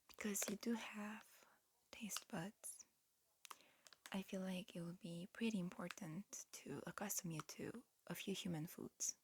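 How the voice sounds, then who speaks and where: Woman for ASMR roleplay examiner, breathy whisper, very slow gentle patter, slightly low pitch. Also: slight background noise. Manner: gentle, unhurried, murmured clinical patter with pauses. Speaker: ASMR roleplay performer playing an alien medical examiner.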